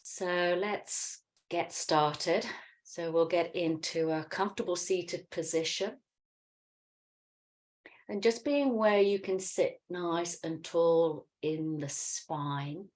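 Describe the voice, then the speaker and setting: A middle-aged woman with a low-pitched voice guides a relaxation practice. She gives calm, soothing instructions on breath and gentle movement, leaving unhurried pauses between phrases. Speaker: yoga and meditation teacher.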